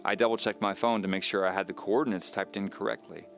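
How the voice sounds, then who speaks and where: A man playing an uneasy man recounting his own mystery in measured, confiding, scripted audio drama narration. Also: lowish voice, fairly even intonation, gravelly, a bit bright, faint music behind. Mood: puzzled, dejected.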